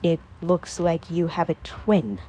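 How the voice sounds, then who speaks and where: A woman voicing a hurt, stern young man who speaks low and slow. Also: expressive, dark tone, husky, exaggerated voice. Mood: hurt, cold.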